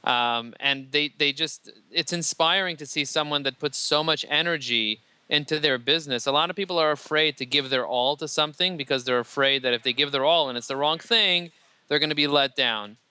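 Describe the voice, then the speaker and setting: A man, a company CEO answering interview questions in an energetic, motivational way. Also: on the high side, brisk, clean tone, no room sound. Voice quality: loud voice. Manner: energetic, motivational, repeats words when excited. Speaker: podcast guest, company CEO.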